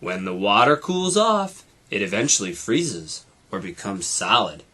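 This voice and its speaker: A man's voice: lowish voice, very animated, a little slow, sharp tone, slightly rough, exaggerated voice. A man voicing a helpful, knowledgeable talking airplane radio that acts as a guide in a children's storybook. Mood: informative.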